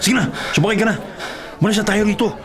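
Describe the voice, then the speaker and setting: Man acting hardworking young delivery rider, Filipino, radio drama, coaxing, high-ish voice, rapid delivery. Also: crowd noise, lightly acted. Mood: alarmed, pleading. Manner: earnest, coaxing.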